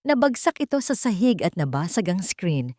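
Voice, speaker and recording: A woman's voice: mid-range voice, big pitch swings, normal speed, warm tone, no room sound, studio quality. A woman with a Filipino accent narrating a dramatic scripted love story.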